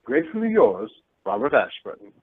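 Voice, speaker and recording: A man's voice: medium pitch, very animated, a little fast, husky. A man playing a courteous, wry storyteller and letter reader in a radio play. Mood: courteous, grateful. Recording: narrow phone-like sound, faint music.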